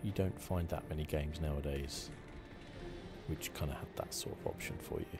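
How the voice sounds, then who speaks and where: A man with an extremely deep voice hosts a relaxed ASMR playthrough. He speaks softly and takes his time, halting now and then as he explains play. Game music runs clearly underneath. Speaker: gaming channel host.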